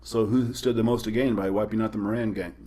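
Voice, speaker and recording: A middle-aged man, a guest professor, explaining history in a measured, storytelling way during casual trivia chat. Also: lowish voice, fairly even intonation, warm tone.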